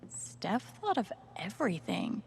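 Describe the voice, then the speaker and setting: A woman's voice: mid-range voice, sing-song, unhurried, vocal fry, darkish tone, slight background noise. An actress playing a friendly, wry young woman in a naturalistic story video game. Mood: wry, warm.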